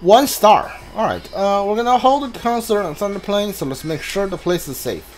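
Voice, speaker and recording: Hesitant, higher-voiced male game streamer. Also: expressive, normal speed. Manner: hesitant, rambling, thinking aloud. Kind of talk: casual live playthrough commentary. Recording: faint background noise from game ambience. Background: video game ambience.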